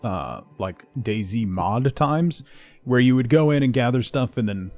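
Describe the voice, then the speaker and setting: A man's voice: medium pitch, animated, measured pace, a little mellow, faint music behind. A man livestreaming a game with casual, conversational commentary, chatting to viewers in a laid-back way.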